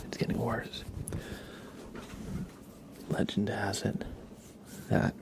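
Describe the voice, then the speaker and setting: A man whispering slowly and playfully in an ASMR roleplay style, telling absurd stories with a straight face. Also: on the low side, little pitch movement, noisy background. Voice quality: breathy whisper with creaky edges. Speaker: ASMR livestream host.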